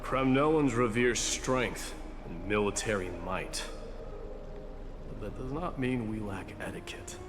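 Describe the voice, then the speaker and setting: Deep, slow, proud warrior prince. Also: male voice, expressive, noisy background. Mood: stern, formal. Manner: formal, blunt, deliberate. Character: proud, stern warrior prince, blunt yet courteous. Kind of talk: English video game voice acting. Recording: long reverb, clear music behind.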